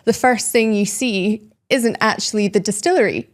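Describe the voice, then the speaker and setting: Scottish woman in her thirties chatting on a podcast, warm and enthusiastic about her subject. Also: medium pitch, normal speed. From Scotland, Speyside. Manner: enthusiastic, descriptive storyteller. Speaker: brand education guest. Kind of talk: casual podcast interview.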